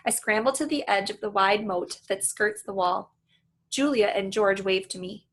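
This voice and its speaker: Expressive woman reading a children's story, husky voice. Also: medium pitch, normal speed. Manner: animated, acts out the dialogue. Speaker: reader reading a children's book aloud. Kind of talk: expressive read-aloud narration with asides to listeners.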